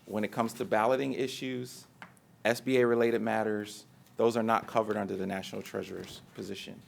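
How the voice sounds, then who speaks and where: A man's voice: lowish voice, flat delivery, brisk, vocal fry. A man speaking as a union treasurer candidate in a debate, assertive and dismissive of his opponent. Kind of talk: debate statement.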